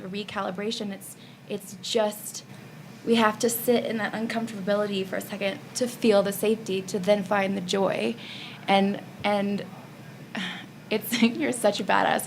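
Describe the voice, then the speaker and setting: A woman hosting a live panel, speaking in an earnest, halting, supportive conversational way. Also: medium pitch, vocal fry. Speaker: podcast host moderating a panel. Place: live panel discussion at a festival. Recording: faint room noise.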